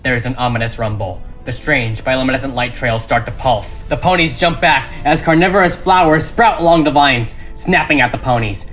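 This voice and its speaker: A man's voice: mid-range voice, fairly quick, hardly any pauses, fairly bright tone. A man reading a script as dramatic narration, with emphatic, suspenseful delivery. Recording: music behind the voice.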